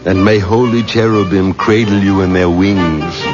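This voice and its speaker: Protective elder, lowish voice, slow, formal, soothing, solemn radio acting, muffled old broadcast. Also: male voice, steady tone. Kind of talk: 1970s radio drama acting. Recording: muffled 1970s radio recording, loud music.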